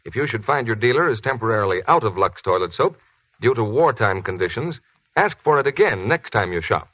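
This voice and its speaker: A man announcing and reading a soap commercial on a 1940s radio show, smooth and persuasive. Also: mid-range voice, expressive, fairly quick. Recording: dry, muffled 1940s radio broadcast.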